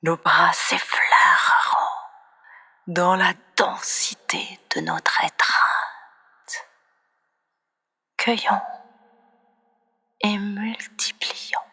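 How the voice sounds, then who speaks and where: A woman reciting poetry in a breathy, often near-whispered voice, with solemn, dramatic and deliberate phrasing. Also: lowish voice, wildly swooping pitch, bright, forceful tone. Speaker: poet reciting own poems. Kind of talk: slow expressive poetry recitation.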